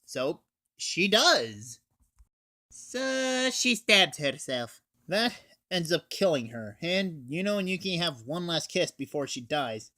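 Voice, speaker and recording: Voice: medium pitch, sing-song, slow, pushed and bright. A teenage reviewer recapping a story in a casual, scripted style. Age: teen. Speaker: anime reviewer.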